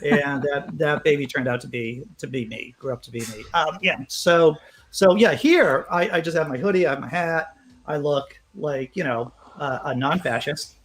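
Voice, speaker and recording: A man's voice: a little high, moderate pace, a bit bright. A man chatting as a guest, rambling through wry, self-mocking remarks. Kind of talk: casual chat.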